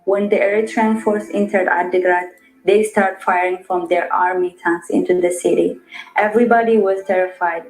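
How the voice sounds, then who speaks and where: A woman plays an eighteen-year-old giving a steady scripted account. Her pitch stays flat throughout. The voice echoes in a room with faint music behind it. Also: lightly acted. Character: eighteen-year-old girl caught in shelling. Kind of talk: scripted first-person testimony.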